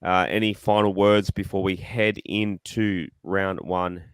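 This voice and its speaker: An Australian man hosting a casual fan podcast, rambling and excitable, his voice slightly croaky. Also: sits low, a little slow, no room sound. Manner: rambling, excitable, self-deprecating. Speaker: podcast host.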